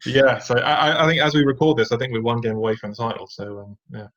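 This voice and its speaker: A man's voice: mid-range voice, level tone, normal speed, a bit bright. A man, a podcast guest, telling his personal story in a reflective way with fillers and restarts. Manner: reflective, many fillers and restarts, occasional rueful laughs. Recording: dull lo-fi sound.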